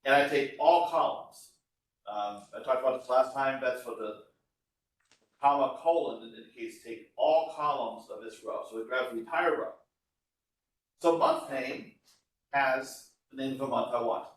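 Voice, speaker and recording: A man's voice of middling pitch rings heavily around a classroom, picked up some way from the microphone. He lectures like a university instructor, informal and conversational. He explains things one step at a time. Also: a little slow. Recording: echoey room, voice far from mic.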